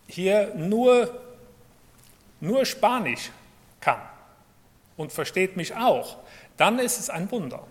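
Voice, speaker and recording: A man preaching a sermon in in a conversational way, asking his listeners rhetorical questions. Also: a little high. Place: church hall. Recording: long reverb of a hall.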